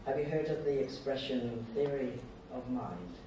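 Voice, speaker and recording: Older male speaker, Australian accent, conversational lecture in short halting phrases, distant mic in a noisy, reverberant hall. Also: mid-range voice, animated. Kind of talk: informal public talk.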